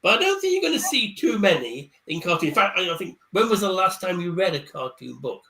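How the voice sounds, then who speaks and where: A man speaking over a video call, his voice a little high and very bright. He talks casually about books, stumbling and repeating words, then pushes ahead quickly. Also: sing-song. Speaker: career coach guest speaker. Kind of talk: casual chat on a live online panel.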